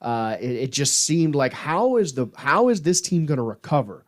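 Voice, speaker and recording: A man's voice: fairly high pitch, very animated, moderate pace. A man hosting a podcast in a casual, informal sports chat style. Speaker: podcast host.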